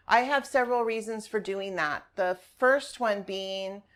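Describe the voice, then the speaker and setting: A woman teaching high school Spanish, walking parents and students through her class website in a friendly, explanatory way with frequent ums. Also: fairly bright tone. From family from Colombia. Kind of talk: screen-share class walkthrough.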